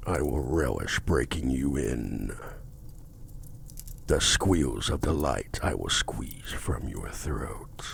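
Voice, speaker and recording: Man voicing a very deep, gravelly seductive demon. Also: expressive, a bit bright, a little hiss or hum, full character voice. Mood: menacing. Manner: slow, deliberate, formal, domineering. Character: seductive possessive male demon contract-broker, menacing, smug. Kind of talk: intimate ASMR roleplay acting.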